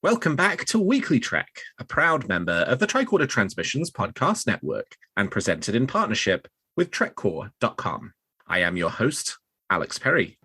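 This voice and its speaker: A man's voice: mid-range voice, wildly swooping pitch, normal speed. A man hosting a fan news podcast, presenting into a close microphone. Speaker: podcast host.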